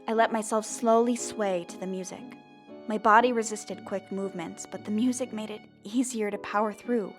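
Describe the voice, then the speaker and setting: A woman's voice: medium pitch, fairly even intonation, moderate pace, soft background music, lightly acted. A woman playing a determined young zombie girl in an audio drama, earnest and theatrical, both in dialogue and first-person narration. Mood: earnest narrating. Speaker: lead character and narrator.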